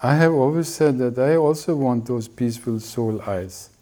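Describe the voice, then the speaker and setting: Calm man teaching in short phrases. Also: mid-range voice. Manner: gentle, unhurried, speaking in short phrases for an interpreter. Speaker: spiritual teacher. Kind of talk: slow spoken teaching.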